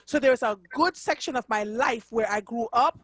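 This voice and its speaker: High-pitched woman radio host in her fifties. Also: moderate pace, breathy edge, dry recording. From Jamaica. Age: 50s. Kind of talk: live talk radio interview.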